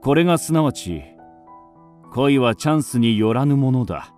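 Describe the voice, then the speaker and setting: A man's voice: medium pitch, expressive, moderate pace, a little mellow, faint music behind, natural voice. An actor playing a wry, lofty writer who narrates his youth in a literary radio drama. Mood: lofty, ironic.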